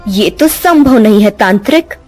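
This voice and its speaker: A woman's voice: medium pitch, animated, moderate pace, darkish tone, soft background music, lightly acted. A woman voicing a cunning, vengeful female serpent spirit in a dubbed cartoon story, with gloating, menacing delivery.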